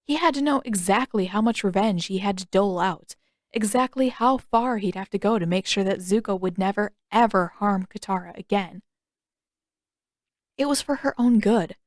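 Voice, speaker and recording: A woman's voice: medium pitch, moderate pace, dry recording, clean studio sound. A woman reading a story aloud as an audiobook narrator in scripted narration.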